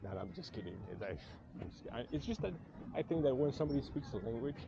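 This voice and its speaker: A man's voice: mid-range voice, sing-song, fast, noisy background. A man from France with a French accent, chatting and joking in a rambling way while walking an outdoor night market as a travel vlogger, recorded on a camera he carries. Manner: chatty, joking, self-deprecating, many fillers. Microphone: 360 camera mic carried while walking. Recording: dull lo-fi street recording. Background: loud street and crowd ambience, vehicles.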